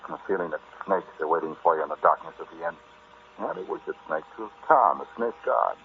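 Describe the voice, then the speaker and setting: Wry explorer husband in an old radio horror play, real deep, hiding fear, noisy old recording. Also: male voice, lively intonation, quick pace, narrow, tinny audio. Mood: haunted. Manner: wry, conversational, dramatic storytelling. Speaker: narrator and lead actor. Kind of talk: old-time radio drama acting.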